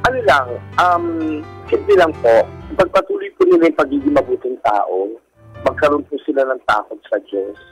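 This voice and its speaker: Husky, animated Filipino man sharing personally. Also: mid-range voice, moderate pace, faint music behind, rough recording. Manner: polite, using respectful particles. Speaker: guest telling their own story. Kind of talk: casual personal testimony.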